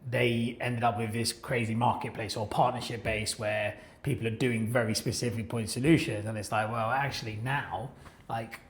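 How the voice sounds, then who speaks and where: A man's voice: medium pitch, steady tone, normal speed. A man in a casual podcast discussion, speaking informally and with opinion, acting out remarks he quotes. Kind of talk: casual industry chat. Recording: echoey room sound.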